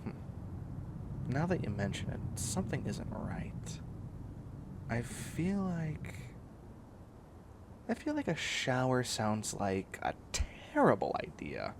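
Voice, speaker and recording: A man acting as a needy, bossy catboy in a comedic roleplay. His delivery is slow and gravelly, swinging widely in pitch as he whines and demands. There is faint noise behind the voice. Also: wildly swooping pitch, heavily acted. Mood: content, defensive. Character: bossy, clingy young man turning into a catboy.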